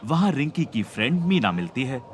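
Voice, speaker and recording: A man's voice: mid-range voice, big pitch swings, a little fast. A man reading scripted narration for a cartoon story. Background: background music.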